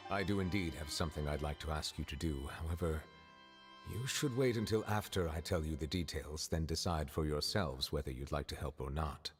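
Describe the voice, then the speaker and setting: An actor playing a reserved, dignified chief judge in a video game, speaking in a measured, formal, cautious way. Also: male voice, rumbling low, soft background music. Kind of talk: scripted video game acting.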